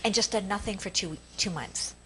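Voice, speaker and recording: A woman from Canada co-hosts a casual interview, offering encouraging and admiring comments. Her voice sits at a middle pitch. A faint noise is heard in the background. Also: moderate pace, sharp tone, rough recording. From Sudbury, Canada. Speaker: co-host.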